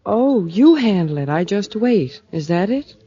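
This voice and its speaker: A woman's voice: medium pitch, very animated, measured pace, warm tone. An actress playing a cool, guarded married woman with a criminal past in an American old-time radio drama, speaking in a clipped, sardonic, world-weary way. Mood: cool, defiant. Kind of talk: 1940s radio drama acting. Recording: dull old-time radio recording with music.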